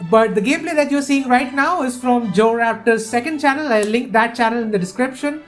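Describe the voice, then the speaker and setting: A woman from India, hosting a casual, excitable and opinionated game preview and often restarting her sentences. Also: a little high, a little fast, faint music behind. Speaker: gaming video host.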